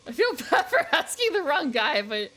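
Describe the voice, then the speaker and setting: A woman's voice: high voice, big pitch swings, moderate pace, bright, forceful tone. An American woman giving casual, rambling live game commentary as a streamer, chatting informally with viewers. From United States.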